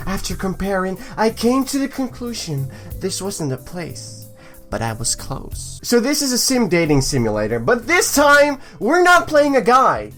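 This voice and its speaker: A woman hosting a let's play, switching between reading game text aloud and excitable, sweary commentary. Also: medium pitch, normal speed, soft background music. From Europe, near Belgium. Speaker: gaming video host.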